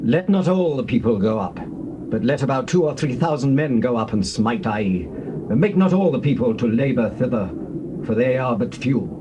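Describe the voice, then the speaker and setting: A man's voice: mid-range voice, animated, moderate pace, natural voice. An actor playing a confident Israelite scout giving his report in an audio Bible drama. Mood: confident, advising. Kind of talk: dramatized scripture audio acting. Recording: dull, noisy old cassette tape.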